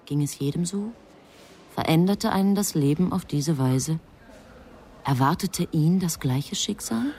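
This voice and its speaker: A woman narrating a literary radio drama as a composed, measured storyteller. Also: deep voice, dark tone, slight background noise. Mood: composed, reflective.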